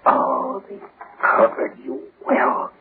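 An actor playing a vengeful, half-mad man in a melodramatic old radio horror drama, halting and repeating himself. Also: lightly acted. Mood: bitter, frenzied. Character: vengeful, half-mad man hunting his enemy among graves. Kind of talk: 1940s radio horror acting. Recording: noisy, muffled old radio recording.

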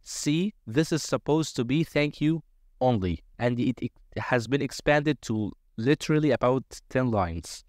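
Man narrating a software tutorial, dry sound. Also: medium pitch, animated, measured pace, no room sound. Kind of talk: step-by-step screen-share tutorial narration, semi-improvised.

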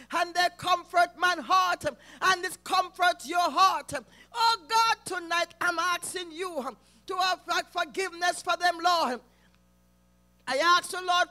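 A man leading an impassioned intercessory prayer, calling out to God again and again, often close to shouting. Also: very high-pitched. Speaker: prayer leader.

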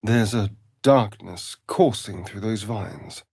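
An actor playing a sly, vain, sardonic vampire rogue in a video game, with an arch, theatrical drawl. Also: male voice, on the low side, slow pace, darkish tone, breathy edge, no echo, studio quality, full character voice. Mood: wary. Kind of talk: video game character voice lines.

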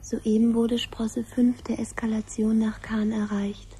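An actress playing an impersonal announcer, reading an official crisis report in a detached, formal way in a 1970s radio drama. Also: female voice, on the high side, little pitch movement, unhurried, over music, natural voice, rough recording. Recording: 1970s radio production, faint background noise.

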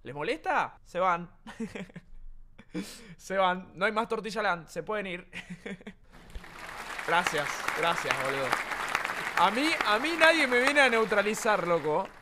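A man's voice: high-pitched, lively intonation, slow pace, pauses often, sharp tone, some chatter behind, slight background noise. A young man from Argentina with an Argentine accent, streaming from his room and joking around, brash and swearing a lot. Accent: Argentine Spanish. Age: young adult. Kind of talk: casual livestream chat. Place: home streaming room with green screen.

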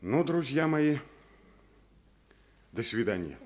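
An actor playing a kindly, composed revolutionary teacher in a Soviet radio play, courteous and expressive. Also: male voice, mid-range voice, slow. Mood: grave, grateful.

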